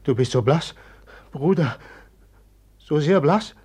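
Husky, slow, haunted murderer. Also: male voice, a little high, animated, darkish tone, full character voice. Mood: anxious, tender. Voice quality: husky, breathy. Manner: halting, secretive, dazed. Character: haunted, brooding murderer, feverishly confiding in his friend. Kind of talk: German literary radio drama acting.

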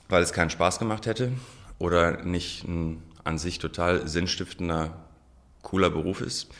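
A very low-pitched man in his forties answers questions on a radio interview. He thinks out loud, pausing on frequent ums and circling back to restart his sentences. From Germany. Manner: hesitant, many ums, restarts. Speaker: interview guest, sociologist.

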